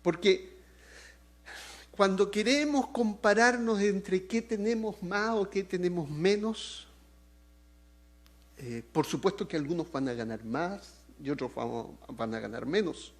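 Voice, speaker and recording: A Chilean-accented man preaching in a slow, deliberate cadence, his voice sitting a little higher than most men's. He swings between loud, rising emphasis and soft, dropped phrase endings. Now and then he breaks off to joke with the people listening. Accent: Chilean Spanish. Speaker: pastor. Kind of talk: sermon.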